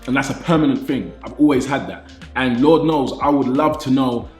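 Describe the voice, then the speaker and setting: A man's voice: medium pitch, normal speed, reverberant, faint music behind. A British man in his thirties with a nasal voice, talking casually and enthusiastically to his viewers as a fitness YouTuber. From Britain. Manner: enthusiastic, repeats phrases, says "man" often.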